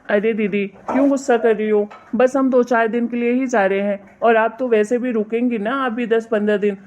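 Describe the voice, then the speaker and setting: A woman plays a dutiful young brother in a cartoon family drama, pitched well below her usual voice but still fairly high. He is mild and placating. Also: steady tone, normal speed, a little mellow, dull audio, lightly acted. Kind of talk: animated family drama dialogue.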